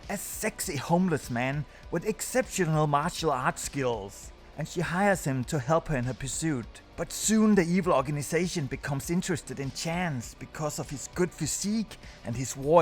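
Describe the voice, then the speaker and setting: Higher-voiced man narrating over music. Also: animated, moderate pace, few pauses. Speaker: video host, narrator. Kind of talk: scripted countdown review narration. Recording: music under the voice.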